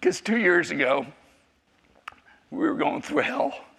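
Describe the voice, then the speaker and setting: Rambling, bright-voiced man in his fifties. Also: high-pitched, big pitch swings, slow, creaky, husky. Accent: American. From South Africa. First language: Afrikaans. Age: 50s. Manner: rambling, self-interrupting, jokey. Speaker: church class speaker. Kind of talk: personal testimony talk.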